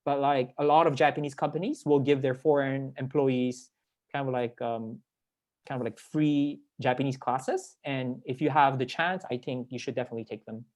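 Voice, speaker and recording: A man's voice: medium pitch, fairly even intonation, normal speed. A young man from the Philippines talking casually as a panelist on a video call, rambling and repeating words for emphasis. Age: young adult. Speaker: panelist sharing work experience. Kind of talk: casual spoken panel talk.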